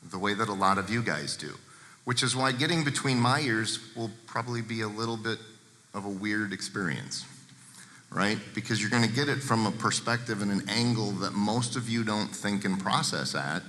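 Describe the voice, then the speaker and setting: A man speaking as a guest at a seminar, talking candidly and addressing the audience directly in a deep voice. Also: sharp tone. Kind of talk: seminar talk to a live room. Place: large seminar room with a projector. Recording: echoey hall.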